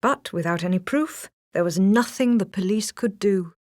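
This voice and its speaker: A woman's voice: medium pitch, moderate pace, no echo, clean studio sound. A woman reading a scripted children's story as a storybook narrator.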